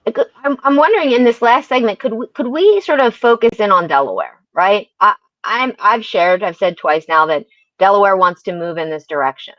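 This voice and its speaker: A woman's voice: mid-range voice, lively intonation, brisk, no room sound, highs cut off. A woman hosting a conversational podcast interview, affirming her guests with frequent murmurs of agreement. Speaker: podcast host.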